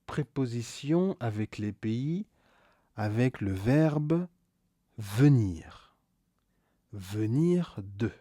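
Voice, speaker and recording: A man teaching a scripted beginner grammar lesson, slowly repeating each key word and stressing it with a rise. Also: mid-range voice, lively intonation, mellow, no room sound, clean studio sound. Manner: slow, deliberate, repeats key words with stressed rises. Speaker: French teacher.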